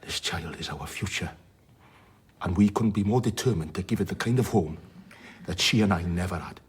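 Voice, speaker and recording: An actor playing a sardonic, blunt, worldly doctor in a radio drama, wry and plain-spoken with a husky voice. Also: male voice, low-pitched, normal speed. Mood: grave, heartfelt. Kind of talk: radio drama acting with narration.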